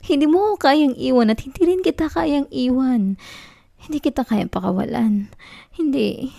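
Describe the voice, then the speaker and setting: Girl acting as clingy possessive filipina gf, asmr roleplay, soft n pleading, lots of vocal fry, super dry close sound. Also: high-pitched, normal speed, dry recording. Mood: desperate, pleading. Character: clingy, possessive young student girlfriend in denial. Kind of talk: ASMR girlfriend roleplay, intimate acted monologue.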